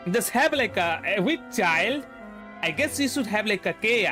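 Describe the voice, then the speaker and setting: A young man with an Indian accent chatting casually and haltingly while streaming a game, often repeating words and trailing off. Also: high voice, expressive, sharp tone. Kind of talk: casual livestream chat while playing. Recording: voice over faint game music. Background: faint game music.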